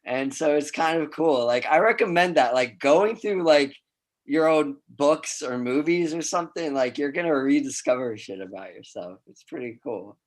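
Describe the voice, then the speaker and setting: Man performer, casual talk, slightly high voice, loose. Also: normal speed. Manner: loose, laughing, says "like" a lot. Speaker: open mic performer. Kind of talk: casual chat.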